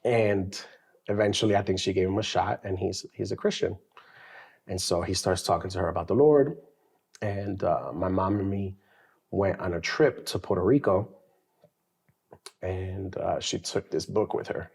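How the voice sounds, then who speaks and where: A man co-hosting a faith podcast, sharing his childhood story in a casual, animated way with some slang. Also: low-pitched, normal speed, creaky, long pauses. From New York. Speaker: podcast co-host. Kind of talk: casual testimony chat.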